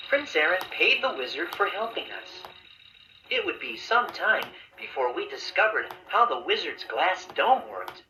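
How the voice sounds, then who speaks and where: A man playing a friendly storybook bear who narrates a children's tale in a measured way, with echoey playback. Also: sits high.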